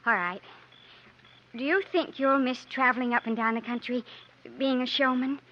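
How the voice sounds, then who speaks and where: A woman's voice: high-pitched, steady tone, normal speed, close to an everyday voice. A woman playing a tender, earnest and slightly hesitant young heroine in love, in 1950s radio drama style. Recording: muffled, noisy 1950s radio recording.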